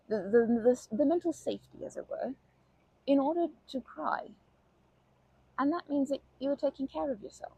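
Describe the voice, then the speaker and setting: Woman playing a husky, creaky old grandma. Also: high voice, no room sound, heavily acted, rough recording. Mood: tender, encouraging. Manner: gentle, rambling, halting, doting endearments. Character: kindly, chatty, nurturing old grandma lady. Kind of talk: ASMR comfort roleplay.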